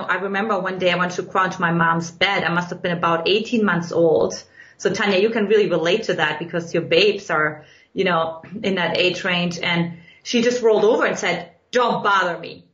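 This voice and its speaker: German-accented woman guest, reflective storyteller, roomy muffled recording. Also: mid-range voice. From Eastern Germany. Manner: earnest, reflective, expressive storytelling. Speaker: guest, dating coach. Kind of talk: podcast interview storytelling. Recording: echoey, dull lo-fi sound.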